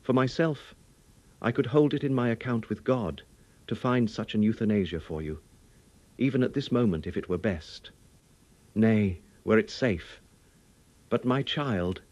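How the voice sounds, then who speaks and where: A man's voice: lowish voice, lively intonation, a little slow, pauses often, darkish tone, dry recording, lo-fi sound. A man playing a grave, protective, fatherly older doctor in an audiobook, pleading solemnly in archaic, formal phrases. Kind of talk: dramatic audiobook character reading.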